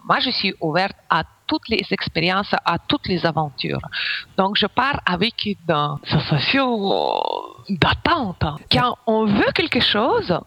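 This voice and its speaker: Ukrainian lady on a radio call, slow careful french, stops to hunt for words. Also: medium pitch, a bit bright. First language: Russian. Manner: halting French with pauses and searching for words. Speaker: radio guest. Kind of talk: casual radio interview.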